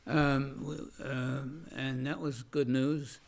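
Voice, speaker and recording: An older man, a veteran, recalling his past in an oral history interview, halting with many restarts and fillers and a loud voice. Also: mid-range voice, level tone, gravelly. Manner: says he has a loud voice.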